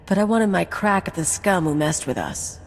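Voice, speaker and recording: An actress playing a brash, wry rogue and card cheat, talking in a casual, cocky way in a video game. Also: female voice, on the low side, expressive, moderate pace, long reverb tail. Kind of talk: video game drama acting.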